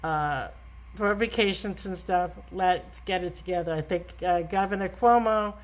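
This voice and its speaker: A woman's voice: fairly low pitch, a little slow. A middle-aged woman with a New York accent hosting a live travel talk, emphatic and scolding in a chatty way. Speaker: travel agent host.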